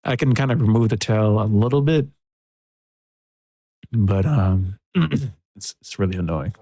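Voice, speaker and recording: A man mixing music on a livestream, thinking aloud in a soft, relaxed, casual way. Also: on the low side, measured pace, no echo. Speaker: music producer streaming a mixing session. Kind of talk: casual livestream commentary while working. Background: faint music from the mix being worked on.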